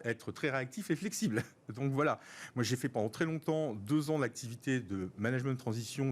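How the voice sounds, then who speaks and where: A middle-aged male guest with an accent from France speaks at a medium pitch. He explains his views in a structured, measured way, repeating words to stress his points. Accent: French from France. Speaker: interview guest, senior professional. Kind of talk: explanatory interview talk.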